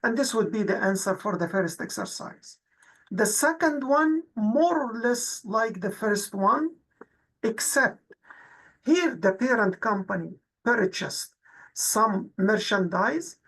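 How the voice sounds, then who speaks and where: A woman instructor with a husky voice, explaining worked exercises methodically in a tutorial narration. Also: fairly high pitch, animated, takes it slowly, a bit bright, poor audio. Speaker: accounting instructor.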